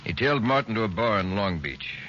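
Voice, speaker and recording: An actor playing a brisk, authoritative American police captain with clipped delivery in 1940s radio style. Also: male voice, fairly low pitch, sing-song, fairly bright tone. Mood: grim. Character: brisk, commanding male police captain briefing his officers. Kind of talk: 1940s radio acting, crime drama. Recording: muffled, noisy old radio recording.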